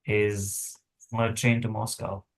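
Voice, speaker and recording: A man's voice: fairly low pitch, little pitch movement, slow pace, low-quality recording. A man at a script table read playing a businesslike intelligence analyst giving a briefing. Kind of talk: dramatic script reading.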